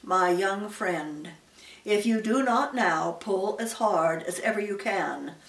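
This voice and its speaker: A woman's voice: mid-range voice, lively intonation, slow, echoey room, distant mic, poor audio. An elderly woman voicing a pompous, wordy male python with formal manners in a storybook reading. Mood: grave, pompous. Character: pompous, long-winded male python rock snake.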